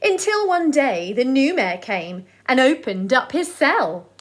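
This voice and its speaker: High-voiced woman reading a children's story. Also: sing-song. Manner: deliberate, sing-song delivery of rhyming verse.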